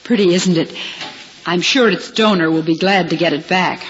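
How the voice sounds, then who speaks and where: A woman's voice: lowish voice, moderate pace, close to an everyday voice. An actress playing a proud, anguished young woman blinded in an accident, in a 1950s radio drama. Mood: gently curious. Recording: lo-fi 1950s radio recording, reverberant, hissy, clicks.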